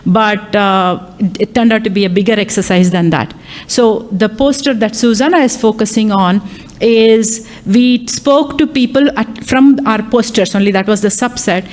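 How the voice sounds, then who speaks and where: A woman's voice: medium pitch, normal speed, a little mellow. A woman whose first language is Tamil, an AI lecturer and workshop chair, speaking live at a conference with many ums, uhs and restarts. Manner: hesitant, many fillers and restarts. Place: conference hall.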